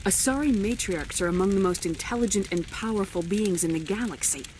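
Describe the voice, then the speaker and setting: An actress playing a decisive military commander in a video game, direct and no-nonsense. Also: female voice, mid-range voice, a little fast, a little mellow, slight background noise, lightly acted, poor audio. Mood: firm, resolute. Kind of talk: video game cutscene acting.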